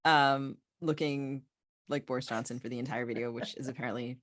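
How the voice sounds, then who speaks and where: A woman's voice: rumbling low, fairly even intonation, a little fast, fairly bright tone, dry recording, studio quality. An American woman co-hosting a podcast in casual, opinionated banter with a wry, informal manner. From United States. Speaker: podcast co-host.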